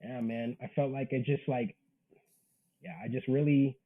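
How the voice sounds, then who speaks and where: A man's voice: mid-range voice, steady tone, moderate pace, narrow, tinny audio. A man in his twenties chatting as an interview guest, earnest and slangy. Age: 20s. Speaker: interview guest, manga creator. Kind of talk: casual interview chat.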